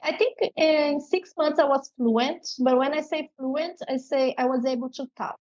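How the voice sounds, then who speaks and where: A woman's voice: high voice, moderate pace, darkish tone, muffled recording. A woman from Brazil with a strong Brazilian accent, a native Portuguese speaker, chatting casually as an interview guest and laughing often as she tells stories.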